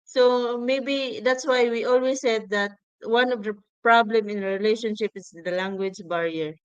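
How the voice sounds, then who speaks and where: Clear-voiced Filipino woman, smooth and dry. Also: on the high side, moderate pace, no room sound, highs cut off. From Philippines. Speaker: co-host of a livestream podcast. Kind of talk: casual livestream chat, explaining.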